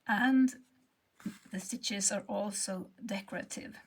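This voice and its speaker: A woman's voice: medium pitch, slow, slightly rough. A woman with a Swedish accent, talking through her embroidery work in a hesitant, half-whispered way, often searching for words. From Sweden. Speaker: textile artist. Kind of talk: casual craft tutorial narration.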